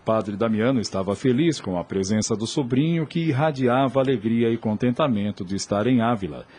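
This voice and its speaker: A man's voice: mid-range voice, brisk, a little mellow. A man narrating a scripted audio drama in a formal, literary way. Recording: clear background noise.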